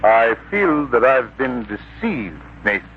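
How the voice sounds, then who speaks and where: A man's voice: mid-range voice, big pitch swings, slow, slightly rough, heavily acted. An actor playing a pompous, self-important man of standing in a theatrical 1940s radio drama style. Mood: offended. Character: pompous self-important male farm overseer and host of guests. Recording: muffled, noisy 1940s radio recording.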